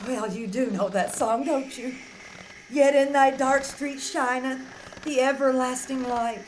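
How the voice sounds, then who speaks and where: A woman playing a kindly, brisk, encouraging traveler in 1940s radio drama style. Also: sits high, steady tone, low-quality recording. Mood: gentle, firm. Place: small theater stage. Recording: live stage recording, background noise.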